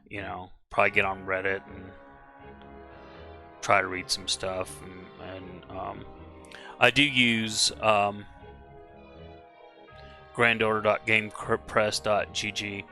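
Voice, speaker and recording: Streamer, male, low voice with a bright edge, slightly hoarse, chatty and self-correcting, faint music underneath. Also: completely flat delivery, measured pace, pauses often. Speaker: game streamer. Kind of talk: casual live-stream commentary.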